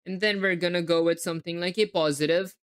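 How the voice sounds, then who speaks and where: Young woman, hybrid accent, lowish voice, live coding, spells things out, chats with viewers. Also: steady tone, fast talker, clear, resonant tone. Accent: hybrid, hard to place. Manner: spells words letter by letter, chatty asides to chat. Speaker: livestreaming coder. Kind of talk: live coding stream, thinking aloud.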